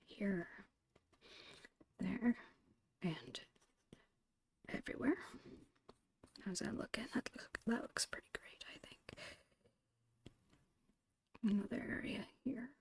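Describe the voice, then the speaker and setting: A woman whispering in a breathy, creaky voice, murmuring her way step by step through an ASMR art narration. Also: mid-range voice, lively intonation, a little mellow. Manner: unhurried, murmured step-by-step musing. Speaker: host of an art ASMR channel.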